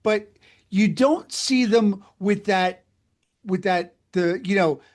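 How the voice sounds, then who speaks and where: High-pitched male sports interviewer. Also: slow pace. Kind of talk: conversational interview questioning.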